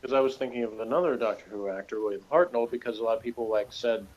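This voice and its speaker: A man chatting casually as a co-host about a TV show, in a rambling, halting way, on a dull lo-fi recording. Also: mid-range voice, fairly even intonation, darkish tone. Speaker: podcast co-host. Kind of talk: casual TV episode discussion.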